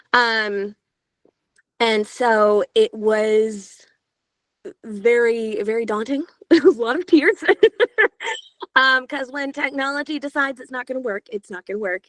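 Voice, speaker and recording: A woman from Georgia in the US, an audiobook narrator, talking casually in an interview with a higher-pitched, younger-sounding voice and a chatty manner full of filler sounds. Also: no echo.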